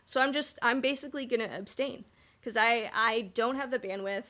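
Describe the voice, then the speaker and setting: A woman's voice: fairly high pitch, a little fast, pushed and bright. A woman co-hosting a podcast in casual fan discussion, speaking candidly and emphatically, with the odd casual swear. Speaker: podcast host.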